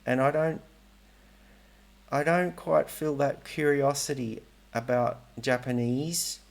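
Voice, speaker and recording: Gravelly hesitant man, rambling vlog. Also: medium pitch, steady tone, slow pace. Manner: rambling, hesitant, self-correcting. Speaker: language learning vlogger. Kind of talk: casual talking-head vlog.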